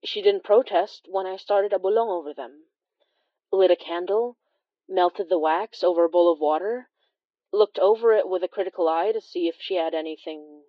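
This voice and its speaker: A woman playing a wry, observant immigrant healer who narrates a horror audio drama in a confiding, measured way with dramatic pauses. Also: on the low side, dry recording, lo-fi sound, close to an everyday voice. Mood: grave, tense.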